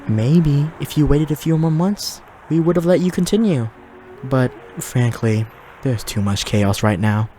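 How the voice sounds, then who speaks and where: A man playing a suave, high-ranking demon in an audio drama, theatrical, courteous and faintly condescending. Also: a little slow, warm tone, soft background music, close to an everyday voice. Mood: cool, condescending. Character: suave male demon of high rank, flamboyant, courteous, menacing. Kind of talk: fiction podcast audio drama acting.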